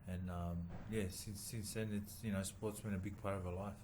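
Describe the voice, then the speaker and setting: A man from New Zealand, a boxer, answering interview questions haltingly with many pauses and fillers. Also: low voice, little pitch movement, slight background noise. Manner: halting, many ums and uhs, soft-spoken. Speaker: boxer and former rugby league player, guest. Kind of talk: casual interview.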